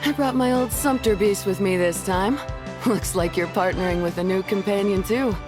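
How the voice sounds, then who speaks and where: Woman as a confident mid-pitched rival. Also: normal speed, natural voice. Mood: confident, friendly. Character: friendly, confident young female rival competitor. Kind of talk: video game voice acting. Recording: music behind voice.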